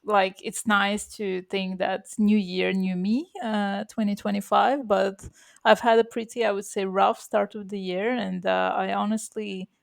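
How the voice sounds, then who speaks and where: A woman co-hosting a podcast, born bilingual with Russian as one of her first languages. She talks in a loose, chatty way, full of fillers, restarts and quick laughs. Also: mid-range voice, flat delivery, vocal fry. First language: Russian, bilingual from birth. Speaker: podcast co-host. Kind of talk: casual podcast chat.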